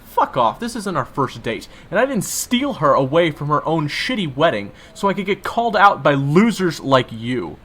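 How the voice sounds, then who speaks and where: A man voicing a hot-headed young boyfriend who shouts insults and picks a fight. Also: a little high, big pitch swings, moderate pace, hardly any pauses, pitched above the performer's own voice. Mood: aggressive. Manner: aggressive, loud, insulting.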